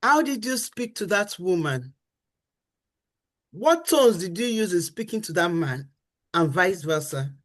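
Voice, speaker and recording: A woman's voice: on the low side, lively intonation, unhurried, pauses often. A middle-aged woman on a faith-based talk show, teaching about marriage. She talks in an earnest, emphatic way, repeats her key phrases, and acts out what spouses say.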